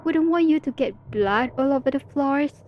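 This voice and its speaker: Female supervillain, voiced by a woman, audio roleplay: highish voice, drawling mockery, theatrical mock menace, slightly dull sound with background noise. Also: expressive, normal speed. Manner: sarcastic, drawling, mock-dramatic. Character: teasing, theatrical female supervillain, playful and world-weary. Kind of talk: ASMR roleplay audio drama.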